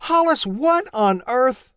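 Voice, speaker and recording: A man voicing a scandalized wife who scolds in a shrill, indignant voice. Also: wildly swooping pitch, fairly quick, no echo, studio quality.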